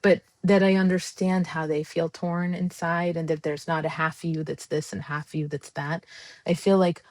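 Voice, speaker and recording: Impassioned low-voiced woman guest. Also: flat delivery, moderate pace, a little mellow, no echo. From United States. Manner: earnest and impassioned, frequent ums and restarts. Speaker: guest, democracy advocate. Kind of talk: conversational podcast interview.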